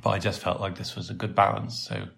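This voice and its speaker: A Welsh man with a very low voice hosts a casual podcast. He rambles along easily, full of ums and little restarts. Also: fast, creaky, slight background noise. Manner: relaxed and rambling, with many fillers. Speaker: podcast host and site developer.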